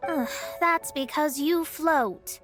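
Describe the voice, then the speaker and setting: Haughty high-voiced young astrologist. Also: female voice, slow pace. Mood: weary, dismissive. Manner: imperious, theatrical, formal phrasing. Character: haughty young female astrologist, proud and sharp-tongued. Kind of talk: video game voice acting. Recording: music under the voice.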